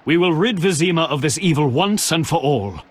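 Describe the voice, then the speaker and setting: An earnest knight of a holy order for a fantasy game. His voice is rough-edged and formal, full of righteous purpose. Long reverb trails his words. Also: on the high side, animated, fairly quick. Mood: wary, righteous. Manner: solemn, formal. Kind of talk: video game voice acting. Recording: long reverberant hall echo.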